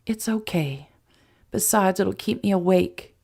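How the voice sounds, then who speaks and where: A woman reading an injured, anxious young woman who speaks softly and often near a whisper. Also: medium pitch, unhurried, creaky, pitched above the performer's own voice. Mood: quiet, bitter. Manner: soft, strained, often whispery.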